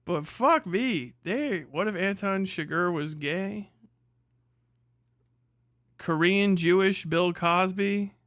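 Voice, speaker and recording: A man's voice: high voice, takes it slowly, long pauses, a bit bright, no room sound, clean studio sound. A man live streaming in casual reaction chat, talking in an exclamatory, profane way.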